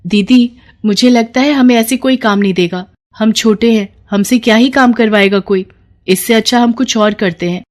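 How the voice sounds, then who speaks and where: A woman's voice: on the high side, moderate pace, darkish tone, pitched above the performer's own voice, close to an everyday voice. A woman voicing a caring, hopeful young girl, the younger sister in a storybook tale, sweet and earnest.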